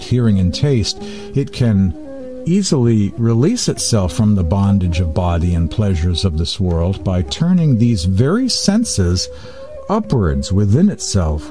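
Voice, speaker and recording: A man with a low, very mellow voice hosts a spiritual radio program. He speaks slowly in short, deliberate phrases, as if reading aloud to listeners. Music plays behind him. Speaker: radio host. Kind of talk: spiritual radio talk and measured reading of teachings.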